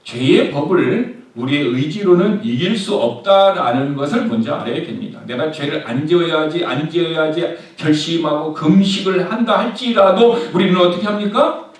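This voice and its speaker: A man's voice: a little high, lively intonation, moderate pace. A man preaching a sermon as pastor, often asking the congregation a question and then answering it himself. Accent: Korean. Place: church sanctuary. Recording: very echoey church hall, mic at a distance.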